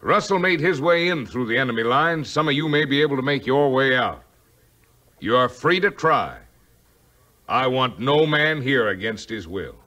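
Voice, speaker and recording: A resolute military commander with a bright, forceful voice that moves widely in pitch. He speaks formally and declaims his orders in old radio-drama style. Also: expressive, normal speed. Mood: solemn, resolute. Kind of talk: 1950s radio-style adventure acting.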